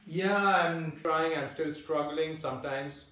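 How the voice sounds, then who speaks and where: A man in his twenties from India, chatting casually as an interviewee, relaxed and hesitant with lots of fillers and restarts. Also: on the high side, slow pace, far from the mic. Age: 20s. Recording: echoey room, voice off-mic.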